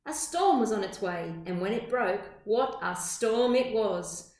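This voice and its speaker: In an echoey room, a woman reads a children's story aloud. Her medium-pitched voice moves through the sentences deliberately, shaping phrases with teacherly drama. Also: animated, darkish tone. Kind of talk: expressive storybook read-aloud.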